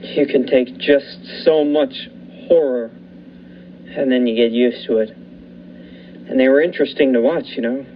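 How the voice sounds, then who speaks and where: A young man voicing a lighthouse keeper who narrates a suspenseful radio drama in a measured, dramatic way with lingering pauses. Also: medium pitch, steady tone, lightly acted. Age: young adult. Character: male lighthouse keeper recounting a nightmare. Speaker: student actor. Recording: muffled with faint hiss.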